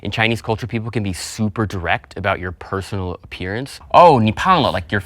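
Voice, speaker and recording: A young man who is a contestant on a game show, talking in a quick, chatty and direct way during a group discussion. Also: on the low side, animated, a bit bright, no room sound, clean studio sound. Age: young adult.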